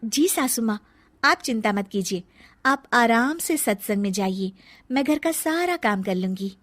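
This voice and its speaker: A young shape-shifting snake woman, a sweet new bride in a cartoon story, voiced with a raised, lively pitch, as a woman narrator puts her on. She speaks politely but frets easily, in a very dry recording. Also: measured pace, no room sound. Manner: polite, fretting, easily flustered.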